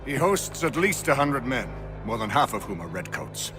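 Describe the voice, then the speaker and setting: A man's voice: mid-range voice, sing-song, normal speed, over music, natural voice. An actor playing a bitter, sardonic man in a video game, with a dry, theatrical, articulate delivery. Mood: grave, knowing. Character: sardonic, bitter male captive turned informant.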